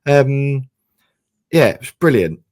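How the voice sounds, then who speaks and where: A man with a croaky, hoarse voice, rambling and correcting himself in a casual football podcast chat. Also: medium pitch, sing-song, slow, a little mellow. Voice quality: rambling, self-correcting, frequent "you know". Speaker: podcast co-host.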